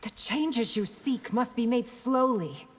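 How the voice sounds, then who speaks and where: Poised princess in noisy reverb. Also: female voice, fairly high pitch, animated. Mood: composed, firm. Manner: formal, measured, poised. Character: composed, dutiful warrior princess, firm and diplomatic. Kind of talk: video game character acting. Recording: long reverb, loud background noise.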